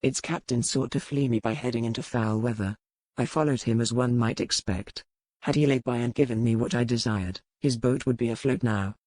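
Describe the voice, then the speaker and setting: An actor playing a dangerous, brooding pirate earl in a romance audiobook, calm and measured, with formal old-fashioned phrasing. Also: male voice, mid-range voice, no echo, natural voice, rough recording. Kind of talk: dramatized romance audiobook dialogue.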